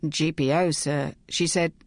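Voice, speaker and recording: A woman reading as a scared young constable, halting and pleading, in a low, dry voice. He sounds cornered and tearful. It is a spoken storybook. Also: steady tone, no room sound. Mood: fearful.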